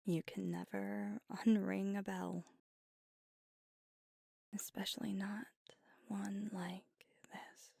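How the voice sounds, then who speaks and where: A woman voicing a gravelly-whispered young vampire. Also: medium pitch, flat delivery, takes it slowly, pauses often, no room sound, studio quality, full character voice. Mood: wistful. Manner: soft whispering, intimate. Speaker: ASMR roleplay performer. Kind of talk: ASMR roleplay. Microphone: close mic.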